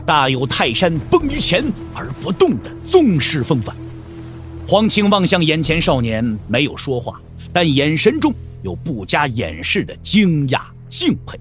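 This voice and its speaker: A man narrates a martial-arts tale with theatrical emphasis. His voice sits high for a man and swings widely in pitch, over a music bed. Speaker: narrator of a wuxia audio drama. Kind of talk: dramatic scripted storytelling narration.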